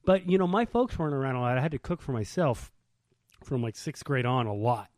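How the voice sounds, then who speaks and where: A man's voice: medium pitch, animated, brisk, darkish tone, dry recording. A man co-hosting in casual banter, asking questions and teasing in slangy everyday speech. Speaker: podcast co-host.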